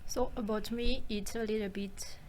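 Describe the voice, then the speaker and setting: A woman's voice: mid-range voice, fairly even intonation, normal speed, darkish tone, a little hiss or hum, low-quality recording. A young woman whose first language is French, speaking English carefully in simple sentences. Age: young adult. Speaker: guest, international student.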